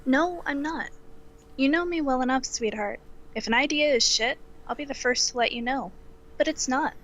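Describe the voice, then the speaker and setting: A woman's voice: high-pitched, moderate pace, fairly bright tone, dull audio. A woman playing a supportive, affectionate but blunt girlfriend in an audio drama, speaking in a frank, casual, teasing way. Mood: frank, encouraging. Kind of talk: naturalistic audio drama dialogue.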